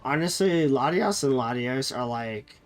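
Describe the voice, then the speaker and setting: A man's voice: mid-range voice, expressive, unhurried, soft background music. A man streaming a game while sick with a cold, sounding nasal and chatting loosely with viewers, often correcting himself. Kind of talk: casual live-stream chat.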